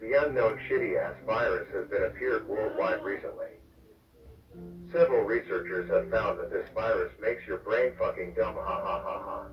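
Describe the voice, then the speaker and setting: A man's voice: fairly low pitch, normal speed. A man delivering comic scripted narration in a crude, flippant way, as the narrator of a parody public warning. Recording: muffled, echoey, distant, under music.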